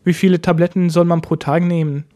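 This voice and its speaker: A man teaching a language lesson, explaining each point slowly step by step. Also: a little high, flat delivery, mellow. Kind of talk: lesson narration.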